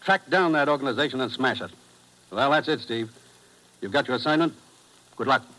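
Actor as a fast-talking American commissioner. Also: male voice, mid-range voice, a bit bright, natural voice, poor audio. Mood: commanding. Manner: clipped, authoritative. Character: brisk, businesslike American intelligence commissioner. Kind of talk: 1950s radio drama acting.

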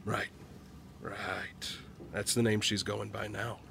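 An actor playing a weary, guilt-ridden, secretive middle-aged father in an audio drama, with halting delivery. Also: lowish voice, flat delivery, gravelly, sharp tone, slight background noise, exaggerated voice. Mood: guarded, reflective. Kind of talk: naturalistic audio drama acting.

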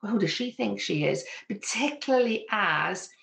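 A woman's voice: medium pitch, lively intonation, unhurried, creaky, fairly bright tone. A woman with a Welsh accent, a business coach answering interview questions in an earnest, reflective way. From Wales. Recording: echoey room.

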